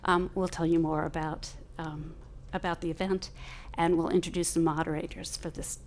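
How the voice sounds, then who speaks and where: A woman hosting an event, giving a formal, measured and somewhat hesitant welcome speech. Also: deep voice, level tone, a little hiss or hum. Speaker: event host, humanities center director. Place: event room at a university.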